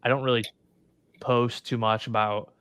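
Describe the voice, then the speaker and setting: Thirty-something man, smooth dry voice. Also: mid-range voice, normal speed, no room sound. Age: 30s. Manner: relaxed, laughs easily, many fillers. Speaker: podcast host. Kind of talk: casual podcast interview.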